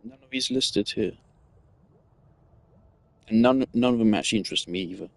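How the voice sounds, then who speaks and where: A man's voice: fairly low pitch, moderate pace, creaky, dry recording. A man giving casual, analytical gaming commentary, thinking aloud in a rambling way as a streamer.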